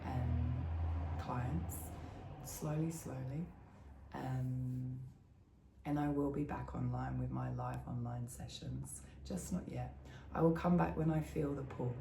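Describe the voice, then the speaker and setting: A middle-aged woman with a croaky voice after the flu, giving a casual spiritual energy forecast and often adding "yeah?" to her sentences. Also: a bass voice, dark tone, echoey room, slight background noise. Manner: chatty, often asks a tag "yeah?".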